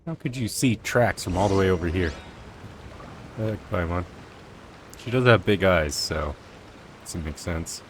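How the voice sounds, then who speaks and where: A man's voice: low-pitched, animated, a little slow, pauses often, background noise. A man giving casual, offhand commentary while playing a video game. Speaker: gamer streamer. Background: game music.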